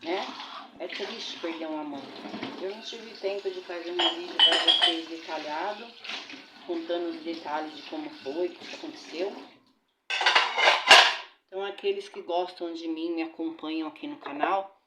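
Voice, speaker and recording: A woman's voice: lowish voice, fairly even intonation, moderate pace. A middle-aged Brazilian woman with a flu, chatting casually to her viewers from her home kitchen while washing dishes. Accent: Brazilian Portuguese. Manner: chatty, addresses viewers as "gente", religious blessings. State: recovering from a bad flu. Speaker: vlogger. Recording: roomy, dull, noisy kitchen recording.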